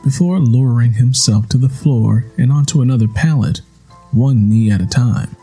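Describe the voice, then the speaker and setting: A man with a dark, mellow voice narrates literary fiction. His tone is husky and his storytelling is measured and solemn, at a middle male pitch. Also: soft background music. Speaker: audiobook narrator.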